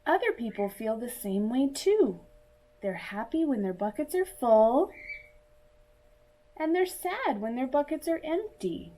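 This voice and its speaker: Slow, mellow woman reading to children. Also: high-pitched, very animated, poor audio. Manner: asks listeners questions and pauses for answers. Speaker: children's story reader. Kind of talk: read-aloud picture book for young children.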